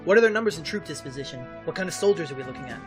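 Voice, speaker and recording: An actor playing a quick-witted, composed young male tactician in a fan audio drama, practical and orderly. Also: fairly high pitch, animated, music in the background, close to an everyday voice. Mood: composed, decisive.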